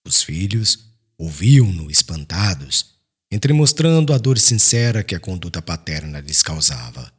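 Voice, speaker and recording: A man's voice: low-pitched, sing-song, unhurried, slightly rough. A man narrating an audiobook in a solemn, emotive and formal literary style. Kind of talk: dramatic scripted literary narration.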